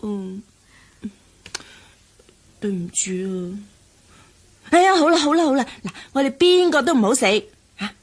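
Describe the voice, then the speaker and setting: A woman acting a devoted, chatty young best friend in a Cantonese radio drama, teasing in a husky voice with a Hong Kong accent. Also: high-pitched, big pitch swings, unhurried, long pauses, exaggerated voice. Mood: coaxing. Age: young adult.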